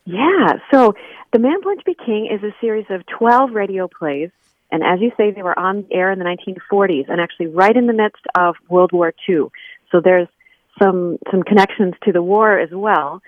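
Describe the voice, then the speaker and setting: A woman's voice: medium pitch, moderate pace, no room sound. A woman guest explaining her scholarly work informally and conversationally in an interview over a remote call. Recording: thin phone-like line.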